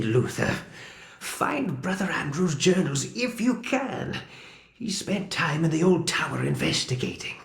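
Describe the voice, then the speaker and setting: Grave secretive helper, slightly high creaky voice, slow ominous delivery, game cutscene, echoey room. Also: male voice, heavily acted. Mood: urgent. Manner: deliberate, ominous, theatrical. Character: grave, secretive male cathedral helper, urgent and protective. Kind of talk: dramatic video game cutscene acting.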